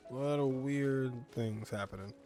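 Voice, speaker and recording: A man's voice: medium pitch, moderate pace, over music. A man commenting casually on a video game as he plays it. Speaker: gaming video host.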